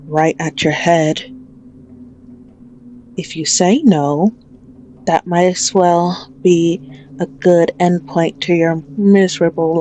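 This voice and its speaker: A menacing female mafia boss, acted by a woman, speaks extremely slowly in a slightly low register. She is mocking, condescending and possessive, in the manner of an ASMR roleplay villain. There is noticeable noise and ambience behind her voice. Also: a little mellow, rough recording. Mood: menacing, commanding.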